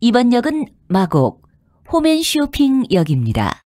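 A woman's voice: on the high side, wildly swooping pitch, measured pace, mellow, dry recording, studio quality. A woman reading scripted subway announcements in a polite, formal and carefully enunciated way. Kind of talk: scripted public transit announcement.

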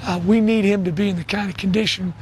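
A man's voice: high voice, fairly even intonation, quick pace. A middle-aged man, a football coach, answering in an interview outdoors on a practice field. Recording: clear outdoor field background noise.

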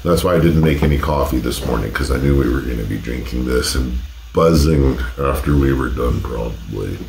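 A man in his forties speaks in a very low, congested voice, stuffed up from a cold. He talks in a laid-back, casual way and swears freely, recorded on a desk mic in a roomy home office with a faint hum behind him. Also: brisk, creaky, darkish tone. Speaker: host. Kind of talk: casual taste-test vlog. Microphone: desk condenser mic.

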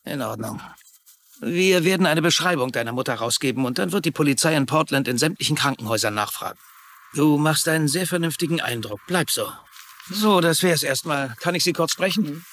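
A small-town sheriff in a television drama. His male voice is a touch high, calm and authoritative, with businesslike phrasing. Faint noise sits behind it. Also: fairly quick. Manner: matter-of-fact, authoritative. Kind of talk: German-dubbed TV drama acting.

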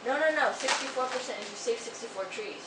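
A woman's voice: on the high side, fast. A middle-aged woman chatting casually as a co-host. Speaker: co-host of a home media expo wrap-up. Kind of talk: casual chat. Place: small indoor room, seated on a couch. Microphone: camera a few feet away. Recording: echoey room, distant mic, clear noise.

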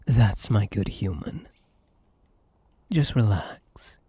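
A man playing a possessive yet tender elf hunter in an intimate ASMR roleplay, speaking in a coaxing, soothing and intense way with a husky voice. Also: fairly low pitch, lively intonation, extremely slow, dark tone, no room sound, exaggerated voice. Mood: soothing, protective. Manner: coaxing, soothing, intense, often dropping to a whisper.

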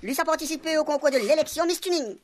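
An actor playing an excitable, dim-witted, nosy police sergeant with a Réunion Creole accent in a comic radio soap. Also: male voice, very high voice, quick pace, slight background noise. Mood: gossipy. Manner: nosy, teasing, Creole-laced talk.

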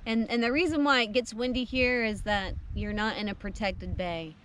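A woman's voice: on the high side, steady tone, moderate pace, a bit bright. A woman in her thirties casually explaining practical camping tips on a beach in a friendly, informative way. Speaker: travel vlogger. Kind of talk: casual travel vlog chat. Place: outdoors on a beach beside a truck camper. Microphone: camera on a tripod, seated. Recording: outdoor recording with faint background noise.

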